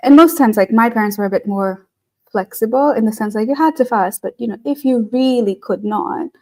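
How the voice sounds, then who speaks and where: A woman's voice: fairly high pitch, fairly quick, darkish tone. A woman from Tanzania, a guest on an online talk, telling stories in a chatty way with many fillers over a video call. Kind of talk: casual conversation.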